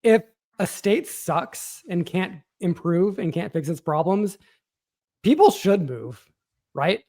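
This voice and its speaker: A man's voice: sits high, sing-song, takes it slowly, darkish tone. An American man hosting a podcast, chatting casually about politics in a blunt, informal way. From Washington State, United States. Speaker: podcast host.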